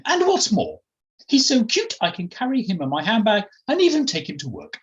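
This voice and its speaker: Storybook lady: reader playing a self-satisfied Englishwoman, chatty and theatrical, high-ish with lively swings. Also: normal speed, muffled recording. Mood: smug, delighted. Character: smug, dog-doting upper-class Englishwoman.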